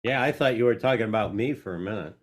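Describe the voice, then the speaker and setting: A man hosting an online storytelling session, moderating with brisk, informal directions over a video call. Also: lowish voice, animated. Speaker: host. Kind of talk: moderating a workshop.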